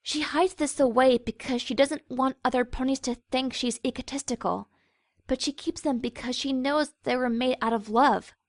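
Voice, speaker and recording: Woman voicing a high-voiced wry cartoon pony. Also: fairly even intonation, moderate pace, no room sound. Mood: earnest. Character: sensible, wry young female pony, gently scolding. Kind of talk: cartoon fan fiction voice acting.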